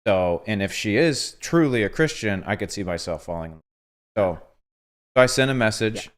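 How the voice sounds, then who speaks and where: An earnest young man with a somewhat low voice, chatting on a livestream. He tells a story with lots of quoted asides and animated turns. His delivery is casual and sincere. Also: normal speed. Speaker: host. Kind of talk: casual couple chat on a livestream.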